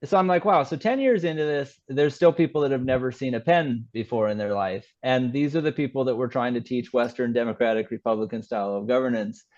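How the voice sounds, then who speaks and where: Male guest, conversational storyteller with a halting delivery and slightly lower pitch range, on muffled lo-fi audio. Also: darkish tone. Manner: halting, repeats words while explaining. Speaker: interview guest, author. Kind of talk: conversational interview, telling anecdotes.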